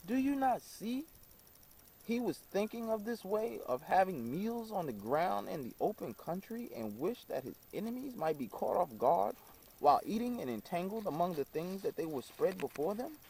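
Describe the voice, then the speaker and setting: A man's voice: sits high, big pitch swings, normal speed, vocal fry, darkish tone, husky, pitched above the performer's own voice, heavily acted, poor audio. A man voicing a reverent, eager old Syrian guest in a recited story, solemnly and earnestly explaining a psalm. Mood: earnest teaching.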